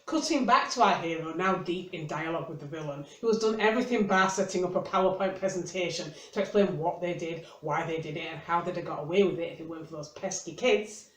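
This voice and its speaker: The recording is roomy and echoing. A reviewer delivers scripted commentary with a dry, tongue-in-cheek manner, at a medium pitch. The delivery is that of a wry explainer walking through familiar material. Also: fast talker, few pauses, a little hiss or hum. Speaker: book reviewer and video host.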